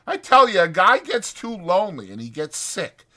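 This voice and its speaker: A man performs a bitter, brooding stable hand from a dramatized audiobook, lonely and scornful. The voice sits high and swings widely, with bright, emphatic, folksy rural speech. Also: sing-song, normal speed, full character voice. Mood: lonely, plaintive. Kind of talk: dramatized audiobook character acting.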